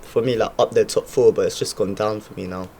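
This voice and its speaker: A man's voice: on the low side, steady tone, fairly quick, gravelly, a little mellow, slight background noise. A man co-hosting a podcast, talking in a casual, easygoing, informal way. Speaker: podcast co-host. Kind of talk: casual panel chat.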